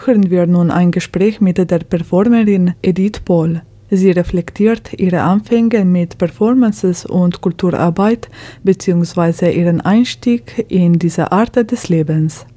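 Woman, radio presenter, low mellow voice, dry close sound, calm scripted read. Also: a little slow, no room sound. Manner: calm, even, read-aloud delivery. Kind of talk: scripted narration.

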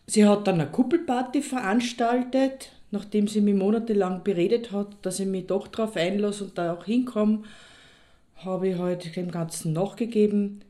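A woman's voice: mid-range voice, steady tone, normal speed, warm tone, close to an everyday voice. An actress with an Austrian accent playing a middle-aged divorced woman telling an anecdote in a wry, matter-of-fact, conversational way for an audio drama. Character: reluctant divorced woman recounting a matchmaking party.

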